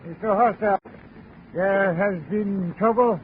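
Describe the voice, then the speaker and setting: High-voiced, flustered shipowner. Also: male voice. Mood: agitated, imperious. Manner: halting, formal, stilted English. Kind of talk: 1950s radio drama acting. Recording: muffled, noisy 1950 radio recording.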